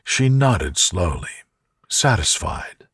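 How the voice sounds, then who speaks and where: A man reading a bedtime sleep story in a soft, gentle, soothing manner. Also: fairly low pitch, big pitch swings, takes it slowly, slightly rough, no room sound, studio quality. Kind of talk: soothing scripted bedtime narration.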